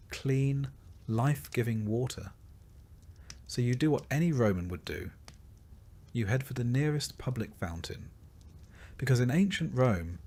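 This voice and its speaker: A man reading a scripted documentary-style narration in a measured, dramatic storytelling manner with wry, dry asides. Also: on the low side, a little mellow. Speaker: narrator of history sleep stories.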